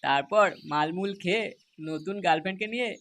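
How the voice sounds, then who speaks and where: Rowdy young cartoon lad played by a man, high voice, fast crude banter, faint noise. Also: fairly bright tone. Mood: eager, lewd. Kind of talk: crude comedy cartoon dialogue.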